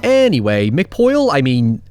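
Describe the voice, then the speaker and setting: A man's voice: mid-range voice, wildly swooping pitch, a little slow, over music. A man narrating a scripted, opinionated video essay as its host, with wry, sardonic asides and casual swearing. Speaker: host of a film retrospective show.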